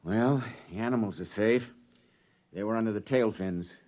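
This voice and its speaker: Low, drily sardonic spaceship crew leader man acting. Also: normal speed, darkish tone, natural voice. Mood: matter-of-fact. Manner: matter-of-fact, drily sardonic. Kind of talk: 1950s radio drama acting.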